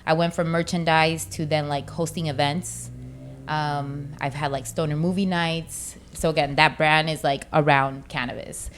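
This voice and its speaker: A woman's voice: sits low, steady tone, a little hiss or hum. A woman in her thirties from Chicago, born in Mexico, talking casually and informally as an interview guest. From born in Mexico, raised in Chicago. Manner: slangy, informal, quick to laugh. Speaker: interview guest, business owner. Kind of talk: casual chat.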